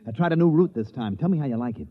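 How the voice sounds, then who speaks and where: An actor playing an easygoing, friendly small-town tavern keeper in an old radio drama, chatty and folksy. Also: male voice, mid-range voice, lively intonation, very fast, lightly acted. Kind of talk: mid-century radio drama acting. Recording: tinny, band-limited vintage radio.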